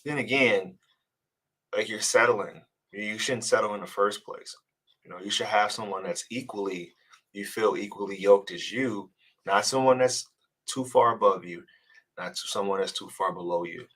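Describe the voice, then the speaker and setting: A man with a somewhat low voice gives relationship advice in a loose, conversational live-stream manner. He sounds congested from a cold and often stumbles and repeats words as he works toward his point. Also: normal speed, fairly bright tone, slightly rough. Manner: casual, earnest, repeats words when restarting. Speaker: dating coach. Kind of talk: live-stream advice chat reading comments.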